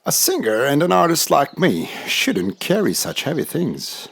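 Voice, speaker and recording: A woman's voice: low-pitched, wildly swooping pitch, a little slow, creaky, over music, exaggerated voice. A woman voicing a lazy, vain, carefree male grasshopper in a children's cartoon, with a breezy, dismissive, singsong manner.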